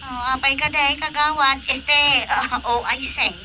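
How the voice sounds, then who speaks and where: A woman's voice: high voice, steady tone, a little slow, sharp tone, a little hiss or hum, full character voice. A woman acting an anxious, nagging fisherman's wife in an Ilocano-accented radio drama comedy, pleading and scolding. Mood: polite, uneasy. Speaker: voice actor.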